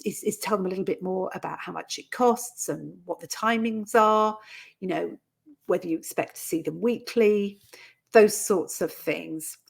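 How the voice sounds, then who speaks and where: A woman giving an informal instructional talk as a coach, explaining conversationally with soft qualifiers and example phrases. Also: mid-range voice, gravelly, breathy edge. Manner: conversational, explanatory, hedging with "sort of" and "you know". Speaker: coach and trainer for therapists.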